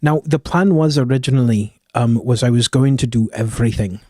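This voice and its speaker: A man's voice: mid-range voice, fairly quick, a little mellow, dry recording, studio quality. Man chatting in a put-on Scottish accent. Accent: put-on Scottish, a mix of Glaswegian and Edinburgh. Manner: rambling, with many fillers and self-corrections. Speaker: live streamer. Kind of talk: casual chat with stream viewers.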